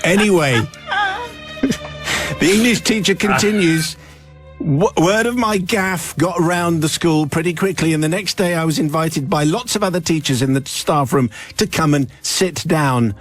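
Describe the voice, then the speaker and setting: Male radio presenter, fairly high voice, reads letters, laughs through lines, studio music bed under him. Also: moderate pace. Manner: reads aloud, often breaks into laughter. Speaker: radio host. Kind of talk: reading a listener's letter on air. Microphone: studio microphone.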